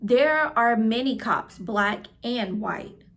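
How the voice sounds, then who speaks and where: A woman's voice: on the high side, expressive, slow pace, fairly bright tone, heavily acted. A woman reading the part of a serious, matter-of-fact father in a storybook.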